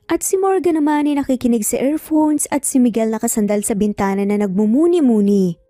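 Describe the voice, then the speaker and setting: Woman, story narrator, high mellow voice, scripted dramatic reading, dry close recording. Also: no echo.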